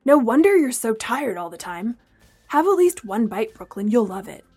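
A woman's voice: fairly high pitch, big pitch swings, normal speed, a little mellow, husky, faint music behind. A pompous young man obsessed with health, as a woman narrator plays him in an audiobook. He is boastful and pushy. He delivers everything as a superior, insistent lecture. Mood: insistent, lecturing.

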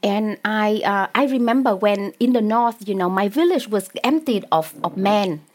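A woman with a Vietnamese accent speaks in a conversational interview as an author. She talks in a hesitant way, with frequent fillers and restarts, and her pitch sits in a middle range. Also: moderate pace. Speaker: author and poet.

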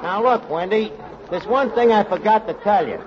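An actor playing a wisecracking, scheming tavern manager, in a 1940s radio comedy, talking brash and slangy. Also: male voice, sits high, moderate pace, a crowd in the background, background noise, close to an everyday voice. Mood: sly. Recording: lo-fi old radio broadcast.

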